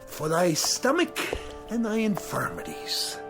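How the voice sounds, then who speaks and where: An actor playing a courtly, friendly, tipsy gentleman in a radio drama, with music behind him. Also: sits high, huge pitch swings, measured pace, heavily acted.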